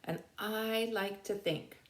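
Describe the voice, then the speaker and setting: A woman narrating a children's storybook aloud with dramatic, deliberate emphasis. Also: medium pitch, fairly even intonation, echoey room. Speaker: teacher reading aloud.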